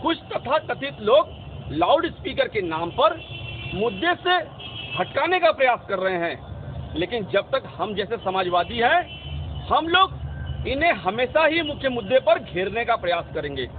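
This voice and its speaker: A man speaking as a political activist, giving an emphatic, declamatory address in measured phrases. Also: very high voice, very animated. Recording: tinny phone-like sound, street noise.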